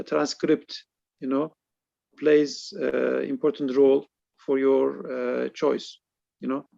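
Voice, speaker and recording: A man as guest speaker, answering questions slowly in an online webinar, with many fillers and restarts. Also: on the high side, gravelly, a little mellow, no echo. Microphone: video call. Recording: dull lo-fi online call audio.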